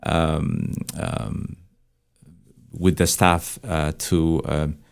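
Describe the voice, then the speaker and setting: A man's voice: very deep voice, level tone, extremely slow, clean tone. A man, a counselor reflecting aloud in conversation in a discursive way. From Ethiopia. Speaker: church counseling director and therapist, panel guest.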